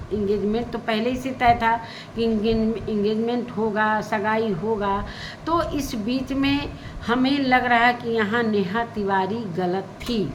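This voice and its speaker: High pitched lady vlogger, chatty commentary, repeats herself to make a point, some background noise. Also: a bit bright, poor audio. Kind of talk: casual spoken commentary on social media gossip.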